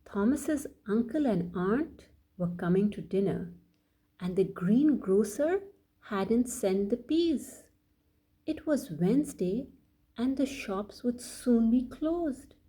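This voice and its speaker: A woman reading a bedtime story aloud for children as a storyteller, addressing them directly in a deliberate way. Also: fairly high pitch, lively intonation, dark tone, husky. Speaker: children's storyteller and channel host.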